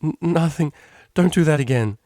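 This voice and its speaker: Storybook mare cellist, prim and fond, male reader lifting into a high register, dry close recording. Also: normal speed, dark tone, no room sound, natural voice. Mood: protesting, laughing. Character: prim female pony cellist, indignant yet fond.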